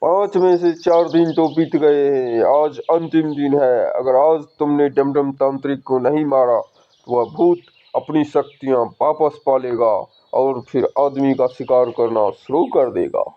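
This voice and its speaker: A man's voice: mid-range voice, fairly even intonation, measured pace, natural voice. A man voicing a stern older male tantric sage in a storybook horror cartoon, giving grave advice. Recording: dull lo-fi sound.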